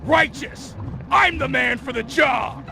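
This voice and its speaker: A man voicing a big, simple, excitable cartoon hippo strongman in a video game, exuberant and hearty. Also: very high voice, slow pace, vocal fry, soft background music, exaggerated voice. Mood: eager, hearty.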